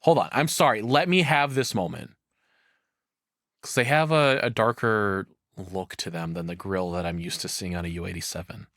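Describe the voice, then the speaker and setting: A man's voice: sits low, normal speed, a bit bright, no echo, clean studio sound. A man giving casual reaction commentary as a streamer, rambling and opinionated, with some swearing.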